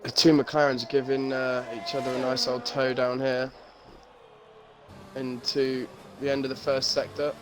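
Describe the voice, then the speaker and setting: A man's voice: mid-range voice, level tone, a little slow. A man co-commentating a live online race, bantering and greeting viewers from chat. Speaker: sim racing co-commentator. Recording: race game engine noise behind voice.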